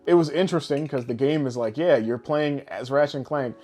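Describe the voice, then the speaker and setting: A man's voice: mid-range voice, brisk, mellow. A man co-hosting a podcast in casual, blunt, slangy chat with plenty of swearing. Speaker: podcast co-host. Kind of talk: casual podcast chat about games and movies.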